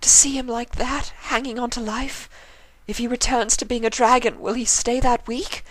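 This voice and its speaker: A man voicing a young female unicorn pony, elegant and remorseful, speaking in a high, pleading tone. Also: level tone, normal speed, a bit bright, no room sound, rough recording. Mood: tearful.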